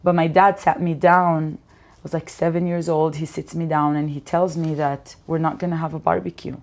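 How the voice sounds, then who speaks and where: Low-voiced woman telling stories. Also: normal speed. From Israel. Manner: animated storyteller, acts out quotes. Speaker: guest comedian. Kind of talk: casual interview storytelling.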